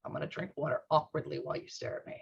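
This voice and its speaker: A man with a fairly high voice runs through club announcements on a video call, loose and full of ums. His voice is gravelly and husky, strained from a workout. The call audio comes through muffled. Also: wildly swooping pitch, very fast. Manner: informal, rambling explanations with fillers. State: rough, strained voice after a workout. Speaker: club board secretary. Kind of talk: online meeting update. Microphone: computer mic on a video call.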